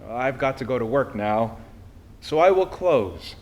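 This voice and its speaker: A man reading a personal letter aloud expressively, with deliberate phrasing and pauses. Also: mid-range voice, lively intonation, a little mellow. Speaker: reader of a historical letter.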